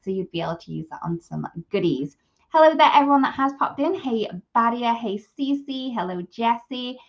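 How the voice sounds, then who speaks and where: A woman's voice: a little high, lively intonation, moderate pace. Sniffly woman hosting a craft live stream. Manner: chatty, greets viewers by name. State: sniffly with a cold. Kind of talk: casual live product demo. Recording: slightly dull live stream audio.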